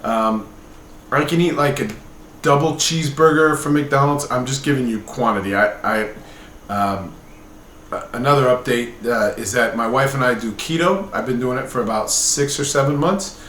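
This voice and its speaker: A man's voice: medium pitch, moderate pace, fairly bright tone. Middle-aged man in an echoey, noisy room. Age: late 40s. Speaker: vlogger. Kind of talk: casual personal update to camera. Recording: roomy echo with background noise.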